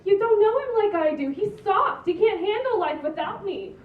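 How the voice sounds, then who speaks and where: Comedy table read: woman as pushy middle-aged aunt, pleading and blunt, lively high voice, distant dull recording. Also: normal speed. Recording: echoey, dull, distant room recording, faint noise.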